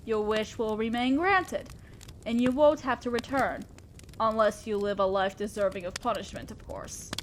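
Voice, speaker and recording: A woman's voice: on the high side, normal speed, a little hiss or hum, close to an everyday voice. A woman playing a fair-minded but ambitious demon lord boss in a scripted audio drama, speaking formally and directly to an underling, with a sly teasing streak. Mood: earnest, solemn.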